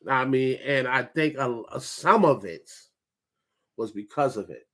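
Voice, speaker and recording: A man's voice: medium pitch, animated, unhurried, a bit bright. A man with a light voice hosting a casual sports podcast, talking loosely and profanely over his co-hosts. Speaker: podcast host.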